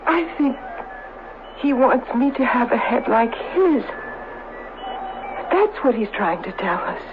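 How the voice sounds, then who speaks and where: A woman's voice: on the high side, sing-song, slow pace. An actress playing an uneasy woman, a scientist's companion, in a 1970s radio drama. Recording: noisy, narrow-band old radio broadcast.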